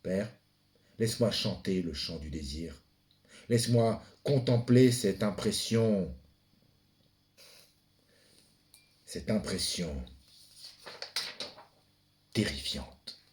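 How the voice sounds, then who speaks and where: A man acts out a brooding son who is ferryman of the dead. The voice sits fairly low and moves very slowly. The delivery is deliberate and dramatic, an incantation addressed to a father. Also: expressive, a little mellow. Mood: urgent, reproachful. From France. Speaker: stage performer. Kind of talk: theatrical monologue reading.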